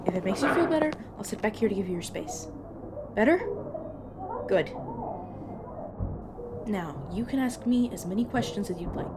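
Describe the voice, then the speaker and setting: A woman's voice: mid-range voice, expressive, normal speed, long pauses, close to an everyday voice. A woman playing a weary, apologetic supernatural agent in an audio roleplay, explaining things patiently with hesitant, casual asides. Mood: calming, patient. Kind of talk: narrative audio roleplay acting, addressed to listener. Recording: clearly noisy, background ambience effects.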